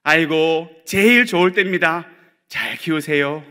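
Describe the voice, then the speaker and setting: A fond, chattering elder with a broad Gyeongsang dialect coos over a baby in a high, loud, sing-song voice, as a male pastor puts it on in his sermon. The tone is bright and forceful, the exclamations swooping upward. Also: a little slow, in a large hall. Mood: doting. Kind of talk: sermon anecdote.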